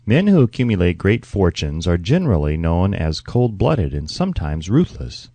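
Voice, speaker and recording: A man narrating a self-help audiobook in a measured, emphatic, instructive manner. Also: deep voice, big pitch swings, mellow, no room sound.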